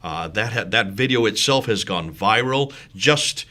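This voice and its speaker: A man on as a studio guest, speaking with energy and emphasis. His voice sits fairly low but comes across bright and forceful. He drives each point home. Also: lively intonation, unhurried. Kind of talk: studio discussion.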